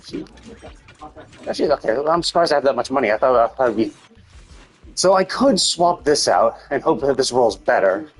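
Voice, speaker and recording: A man giving casual, unscripted gameplay commentary on a live stream, rambling and thinking out loud in a husky voice. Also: medium pitch, expressive, moderate pace, pauses often, soft background music.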